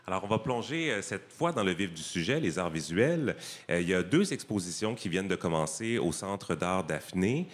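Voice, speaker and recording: A man's voice: sits low, big pitch swings, moderate pace. A man hosting a talk show, interviewing in a casual, conversational style. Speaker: host.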